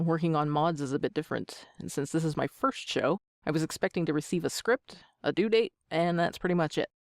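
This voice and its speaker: A woman's voice: low voice, gravelly, no room sound, studio quality. A woman in her thirties answering interview questions as an amateur voice actor, with a joking, self-deprecating manner. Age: 30s.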